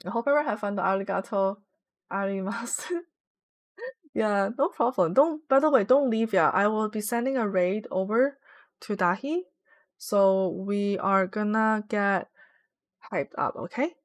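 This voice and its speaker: A woman's voice: medium pitch, a little slow, no room sound. A young woman streaming from home, chatting casually and rambling with lots of "like" fillers as she plays. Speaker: Twitch streamer. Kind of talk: casual live-stream chat while gaming.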